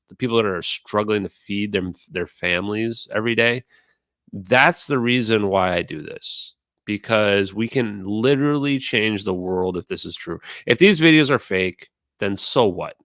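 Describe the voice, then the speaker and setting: A man's voice: lowish voice, a bit bright, no echo, clean studio sound. A man with a mid-Atlantic accent from the United States, talking earnestly and ramblingly in a casual online chat, swearing now and then. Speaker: streamer and guest speaker. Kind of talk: casual impassioned talk in an online audio room.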